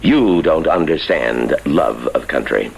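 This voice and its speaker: An actor playing a stern, troubled government minister, formal and earnest, in old radio drama style. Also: male voice, extremely low pitch, lively intonation, slow, gravelly, slight background noise, exaggerated voice. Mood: earnest, reproachful.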